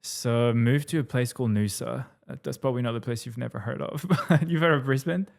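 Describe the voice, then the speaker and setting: A young man from New Caledonia with a French accent tinged with Australian, talking in a relaxed, rambling way as an interview guest. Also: mid-range voice, fairly quick, vocal fry. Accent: French, with some Australian. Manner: relaxed, rambling, laughs easily. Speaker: guest, musician. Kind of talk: casual interview chat.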